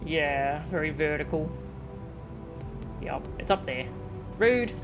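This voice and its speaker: A woman's voice: deep voice, slow. A woman streaming a game with casual, exclamatory reactions, sometimes reading dialogue aloud, with music behind her. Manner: expressive, exclamatory.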